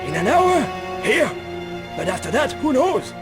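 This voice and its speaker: A man's voice: very high-pitched, very animated, measured pace, music in the background, heavily acted. A man playing a surly, suspicious old man in a video game, irritable, dismissive and grudging. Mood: conspiratorial. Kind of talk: video game cutscene voice acting.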